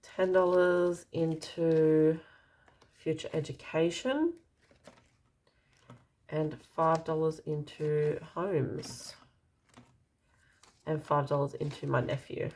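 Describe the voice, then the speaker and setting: Woman, Australian accent, low voice, slow pace, slightly croaky, casual budgeting narration. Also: darkish tone. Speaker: budgeting vlogger. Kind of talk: casual narration while counting cash.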